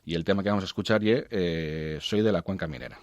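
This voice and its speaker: A man with an Asturian accent presenting music in informal radio talk, halting and repeating words as he searches for the next one. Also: low voice, dull audio, heavy background noise. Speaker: guest music commentator on a radio show.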